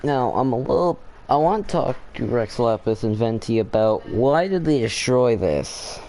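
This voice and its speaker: A young man, giving casual, halting commentary while playing a game. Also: mid-range voice, animated, mellow. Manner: halting, rambling, thinking aloud. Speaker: gaming video host. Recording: faint noise, game sound behind.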